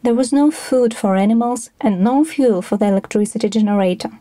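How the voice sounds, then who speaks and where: A woman's voice: a little high, a little fast, mellow, dry recording. A woman reading scripted documentary narration for a podcast.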